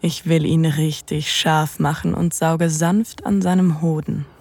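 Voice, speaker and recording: A woman playing a seductive, dominant lover, narrating intimately in the first person with a breathy, teasing delivery that often nears a whisper. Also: sits low, steady tone, darkish tone, no room sound. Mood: sensual, slow. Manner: slow, sensual, teasing. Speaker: narrator and lead in an erotic audio drama.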